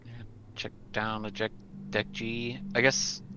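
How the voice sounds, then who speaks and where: A man's voice: on the low side, little pitch movement, takes it slowly, dull audio, background noise. A man playing a tabletop roleplaying game, talking through plans casually with hesitant, trailing phrasing.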